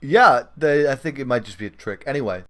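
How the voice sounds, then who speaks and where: A man's voice: mid-range voice, expressive, fairly quick, fairly bright tone. A man hosting a podcast, giving casual game commentary in a hesitant, discursive way. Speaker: podcast host.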